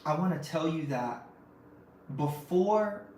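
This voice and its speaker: A man's voice: fairly high pitch, animated, slow pace, darkish tone, a little hiss or hum. A man hosting a podcast, giving an impassioned faith-based motivational talk and driving points home with repeated phrases and rhetorical questions. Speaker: podcast host. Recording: very echoey room, mic at a distance.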